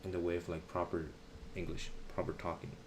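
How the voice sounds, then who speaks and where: A young man chatting casually on a live stream, pausing often to search for words and using a lot of slang. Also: low-pitched, monotone, noisy background.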